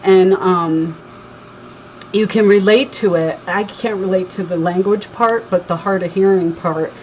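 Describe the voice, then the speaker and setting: A woman's voice: medium pitch, measured pace, darkish tone, dull audio, a little hiss or hum. A woman from Connecticut with a slight Southern twang, hosting a crafting livestream and chatting with viewers while she works. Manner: chatty, reads viewer comments aloud, laughs easily. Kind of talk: casual live crafting chat.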